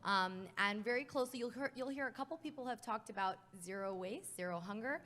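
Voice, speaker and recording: A woman moderates a live conference panel in a slightly high voice. Her delivery is upbeat and emphatic, and she laughs easily. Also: a little fast, bright, forceful tone.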